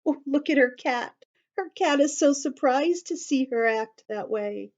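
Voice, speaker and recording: Slow, lively woman reading to children. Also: sits high, highs cut off. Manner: expressive, explains words to young listeners; drops to a whisper at times. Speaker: children's storyteller. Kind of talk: picture book read-aloud for children.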